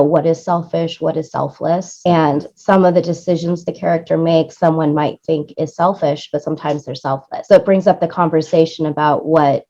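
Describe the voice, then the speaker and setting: Woman w/ a low voice, chatty interview guest explaining stuff, kinda dull lo-fi audio. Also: darkish tone. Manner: chatty, explanatory, often tags points with "right?". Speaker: interview guest, music supervisor and producer. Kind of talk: conversational interview talk.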